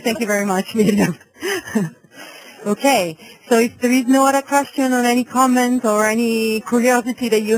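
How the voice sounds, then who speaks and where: A woman's voice: on the high side, poor audio. A woman speaking as the host of an online webinar, presenting to participants.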